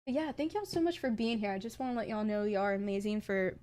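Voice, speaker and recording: A young adult woman with an accent, chatting casually as a game streamer and bursting into excited exclamations. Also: medium pitch, fast. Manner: chatty, bursts into excited "ooh"s over items. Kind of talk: casual live-stream chat.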